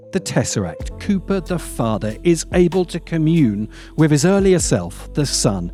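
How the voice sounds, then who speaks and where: A man narrating a scripted video essay in a measured way, with emphatic pauses and wry asides. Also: on the high side, mellow.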